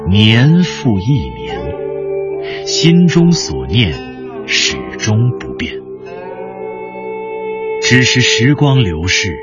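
A man narrating a radio drama in a measured, expressive storytelling style. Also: lowish voice. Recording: dull recording under loud music.

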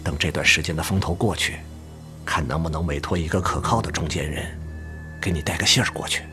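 A man's voice: rumbling low, a little fast. A man playing a cautious, discreet helper in a radio drama, speaking in a confiding way. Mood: hushed, cautious. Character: discreet, cautious man offering secret help. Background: cello and string music.

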